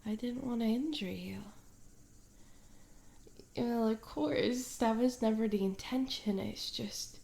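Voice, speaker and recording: A woman playing a smitten, awkward tomboy stalker in an intimate one-sided ASMR roleplay, hesitant and soft-spoken, trailing off with small hums. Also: on the high side, slow pace, creaky, long pauses. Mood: earnest, smitten.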